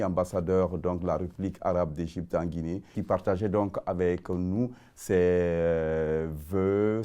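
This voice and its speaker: A male TV host leads a formal interview in a measured way. His voice is deep and warm. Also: clear, resonant tone.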